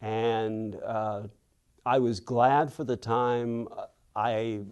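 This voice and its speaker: Slow, mellow older man reminiscing. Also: mid-range voice, fairly even intonation, smooth, highs cut off. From Western Pennsylvania. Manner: thoughtful, unhurried, acts out quoted people. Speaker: author being interviewed. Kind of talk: reflective storytelling interview.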